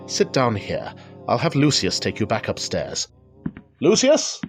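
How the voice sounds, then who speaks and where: Anguished gothic gentleman over music. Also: medium pitch, wildly swooping pitch, measured pace. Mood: anxious. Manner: formal, melodramatic, fretful. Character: anguished, nervous reclusive gentleman, doomed last heir. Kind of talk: gothic radio drama acting.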